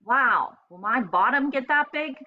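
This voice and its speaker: A woman's voice: high voice, measured pace, highs cut off, pitched above the performer's own voice. Woman reading as a plucky baby girl hippo. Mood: excited, playful. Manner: excited, determined.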